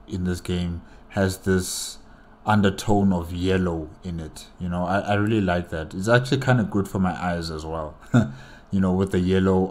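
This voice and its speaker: A man's voice: deep voice, darkish tone. A man giving casual, rambling reaction commentary as a video host, hesitating as he thinks aloud.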